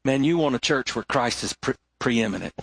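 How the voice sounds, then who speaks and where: A middle-aged male pastor preaching a sermon, swinging between rising emphasis and hushed, low moments. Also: lively intonation, moderate pace, husky, no echo.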